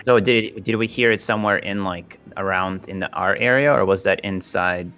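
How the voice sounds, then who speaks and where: A man's voice: lowish voice, normal speed, pushed and bright, clean tone. A man playing a tabletop roleplaying game, chatting casually with the group.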